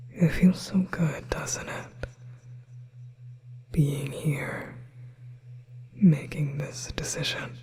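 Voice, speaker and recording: A possessive female demon voiced by a woman whispers in a breathy, very slow murmur. She is soothing and coaxing, with a manipulative pull, as in a hypnotic ASMR roleplay. Her tone stays even throughout. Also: low voice.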